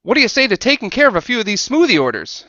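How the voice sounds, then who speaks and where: A woman's voice: fairly high pitch, very animated, racing, fairly bright tone, dry recording, highs cut off. A woman voicing a friendly, harried bar worker in a video game, chatty and eager. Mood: friendly, hurried.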